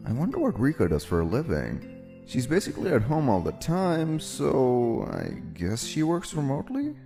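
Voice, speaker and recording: Man acting anxious young anime-dub guy, swooping pitch. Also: wildly swooping pitch, normal speed, gravelly, mellow. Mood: curious, concerned. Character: anxious, considerate young man narrating his romance. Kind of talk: anime-style dub acting and first-person narration. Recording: music bed behind the voice.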